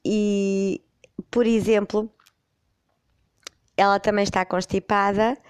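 A middle-aged woman with a European Portuguese accent hosts her podcast while stuffy with a cold. She rambles and corrects herself with amusement as she tells a personal story. Her voice is smooth and clear, recorded close and dry. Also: mid-range voice, flat delivery, slow, dry recording. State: congested with a cold. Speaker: podcast host.

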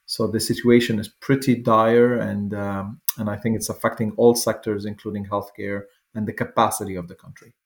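A man's voice: lowish voice, flat delivery, normal speed, few pauses. A man, a physician guest speaking in a wry, conversational way. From Lebanon. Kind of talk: panel interview answer.

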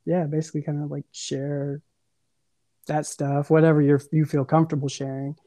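A man's voice: fairly high pitch, little pitch movement, moderate pace, mellow, dry recording. A man hosting a casual podcast interview, hesitant and restarting his questions often. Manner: hesitant, full of fillers and restarts. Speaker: podcast host.

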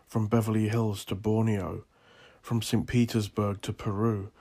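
Male narrator, low and gravel-edged, theatrical yet restrained in inflection, for solemn, deliberate scripted storytelling. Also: little pitch movement, warm tone. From Northern Ireland. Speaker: author narrating own story.

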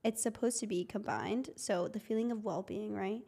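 A woman's voice: mid-range voice, moderate pace, gravelly, warm tone, breathy edge. A woman from Northern California in a casual group chat, telling stories with lots of fillers. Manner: chatty, storytelling with lots of fillers. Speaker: podcast co-host.

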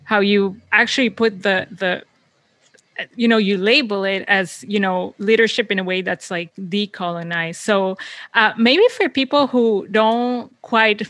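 A woman hosting an interview, warmly engaged and affirming, searching for words as she frames a question. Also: medium pitch, fairly even intonation, unhurried, no echo. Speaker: interviewer, host. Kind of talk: conversational interview.

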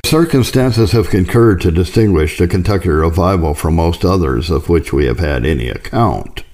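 Deep, mellow man reading old religious history. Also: lively intonation, moderate pace. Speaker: audiobook reader.

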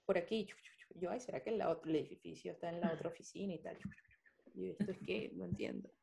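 A woman's voice: lowish voice, fast, creaky. A young adult woman telling stories in a casual chat, full of fillers and restarts. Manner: animated storytelling, many fillers.

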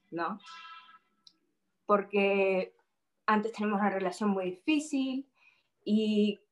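A young woman from Spain talks in a relaxed interview. She often stops to gather her thoughts and repeats words before she finishes. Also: mid-range voice, low-quality recording. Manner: hesitant, repeats words while thinking. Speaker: interview guest. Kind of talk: casual interview conversation.

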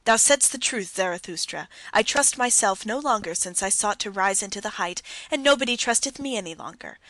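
Woman voicing a young man, anguished, pleading, impassioned, higher and louder, dry close audiobook sound. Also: dry recording.

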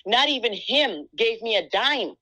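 A woman's voice: a little high, measured pace, dry recording, poor audio. Jamaican lady pastor sharing her testimony, chatty storytelling, close dry sound. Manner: animated storytelling, acting out quoted remarks, repeated "right?" tags. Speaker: pastor and show guest giving testimony. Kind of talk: conversational personal testimony.

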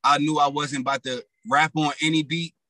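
A raspy young man telling his story in loose, slang-heavy casual talk as a rapper guest. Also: a little high, little pitch movement, brisk, pushed and bright.

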